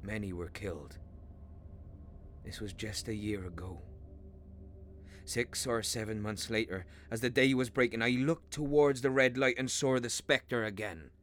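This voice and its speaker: A troubled railway signalman, acted by a man for a radio ghost story. His voice sits on the low side and he speaks very slowly, grave and halting, as if weighed down by dread. There is faint noise behind the recording. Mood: haunted, dread. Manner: grave, halting, dramatic storytelling with hushed drops and anguished outbursts. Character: haunted, troubled railway signalman telling of a spectre. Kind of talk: radio play acting of a Victorian ghost story.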